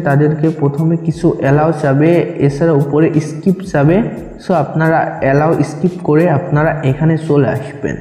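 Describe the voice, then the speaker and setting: A man's voice: on the high side, mellow. A man with a Bangladeshi accent giving an instructional, step-by-step app tutorial voice-over, repeating his directions as he goes. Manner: instructional, repetitive. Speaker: tutorial presenter. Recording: echoey, reverberant room.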